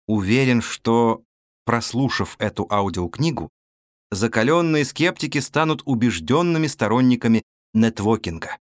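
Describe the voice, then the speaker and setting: A man reading a business audiobook as a narrator, in a measured, clearly articulated scripted delivery. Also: mid-range voice, no room sound, clean studio sound. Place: recording studio.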